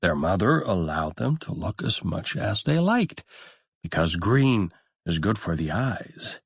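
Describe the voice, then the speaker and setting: A man narrating a fairy tale as a scripted audiobook, in a measured, expressive storytelling manner. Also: deep voice, gravelly, breathy edge, no room sound, clean studio sound.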